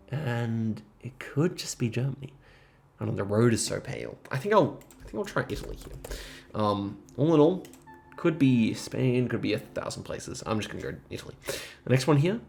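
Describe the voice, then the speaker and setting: A man's voice: on the low side, gravelly, darkish tone. A man giving casual, thinking-aloud gameplay commentary, musing and rambling hesitantly as he goes. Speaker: host of geography quiz gaming videos.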